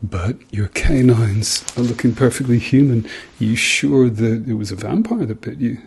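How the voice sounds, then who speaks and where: A middle-aged man doing a softly spoken ASMR role-play as a doctor, murmuring reassurance to a patient. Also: mid-range voice, animated, normal speed, vocal fry, husky. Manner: reassuring, murmured repeated encouragement.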